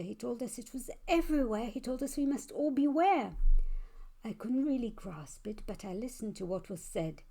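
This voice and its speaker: A woman narrates a children's picture book in rhyme. She takes her time with each line, speaking slowly and expressively as a storyteller would. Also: mid-range voice, gravelly, dark tone, breathy edge. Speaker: storybook reader. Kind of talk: scripted children's read-aloud, rhyming narration.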